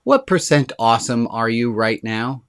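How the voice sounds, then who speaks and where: A man speaking as a rabbi on a video call, leading an online group in a casual, chatty way with a deep, resonant voice. He talks eagerly and quickly, and he says his excitement speeds him up. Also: a little hiss or hum.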